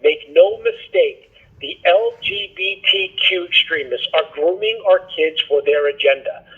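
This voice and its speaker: A man's voice: sits high, a little slow. A man reading a scripted, alarmist political robocall, heard as a telephone voicemail. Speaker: campaign robocall announcer. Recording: muffled, husky answering-machine phone recording.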